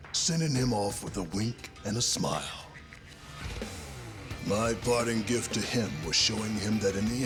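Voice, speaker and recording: An actor playing a cruel, gloating yakuza villain and former boxer in a video game cutscene, taunting and smug. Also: male voice, low voice.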